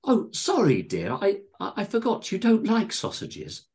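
Man putting on a fussy, high-voiced housewife. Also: huge pitch swings, a little slow, darkish tone, exaggerated voice. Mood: fretful. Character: fussy, long-suffering motherly British housewife, doting.

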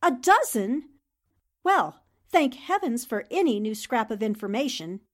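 A woman's voice: a little high, very animated, measured pace. A woman playing a pushy, spirited young newspaper reporter, insistent and pleading, in 1940s radio drama style. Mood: indignant, insistent.

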